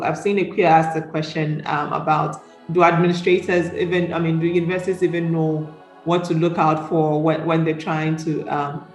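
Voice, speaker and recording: A woman moderating a panel discussion, reflective and questioning, often checking in with the listeners for agreement. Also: low-pitched. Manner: reflective, questioning, seeking agreement with tags like "right?". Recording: roomy echo.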